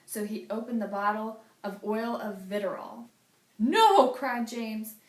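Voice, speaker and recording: A woman reading a children's picture book aloud as a teacher, with a deliberate, dramatic storytelling delivery. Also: mid-range voice, fairly even intonation. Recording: echoey room.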